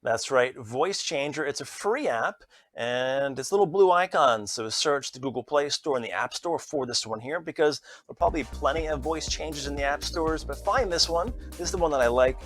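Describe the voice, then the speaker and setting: A man's voice: fairly high pitch, normal speed, fairly bright tone, soft background music. A man hosting an app review show, giving a casual, chatty tutorial. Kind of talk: upbeat app tutorial narration.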